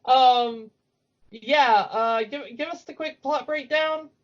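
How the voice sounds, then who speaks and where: A woman's voice: high voice, slow pace. Woman co-hosting a casual podcast, muffled audio. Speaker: podcast co-host. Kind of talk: casual chat about cartoons. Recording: dull lo-fi audio.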